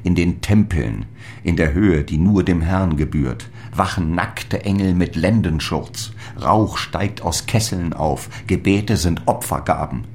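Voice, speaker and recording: Very deep-voiced solemn apostle. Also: male voice, measured pace, darkish tone, a little hiss or hum. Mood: bitter, embattled. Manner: grave, incantatory, declamatory. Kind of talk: literary radio drama monologue.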